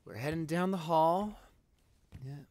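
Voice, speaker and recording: A young man playing an affectionate, excitable vampire boyfriend in an intimate audio roleplay, gushing and stammering. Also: fairly high pitch, a little slow. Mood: curious, hesitant. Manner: gushing, stammering, repeats himself.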